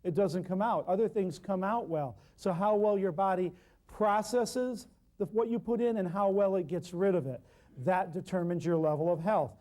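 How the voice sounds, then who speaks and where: A man's voice: high-pitched, moderate pace, mellow. A middle-aged man teaching a natural healing seminar in an emphatic, preachy style with short punchy phrases. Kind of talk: seminar lecture.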